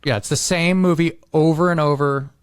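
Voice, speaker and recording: Middle-aged man, slightly high voice, very dry sound, rambling casual chat, blunt and sweary. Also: steady tone, normal speed, clear, resonant tone, no room sound. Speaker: podcast host.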